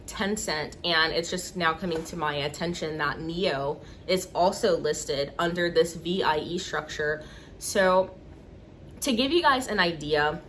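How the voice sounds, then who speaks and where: A woman hosting an investing channel, talking casually to camera, congested and a little nasal from a cold, with a relaxed, chatty manner full of fillers. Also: on the low side, slight background noise. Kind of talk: casual explainer talk to camera.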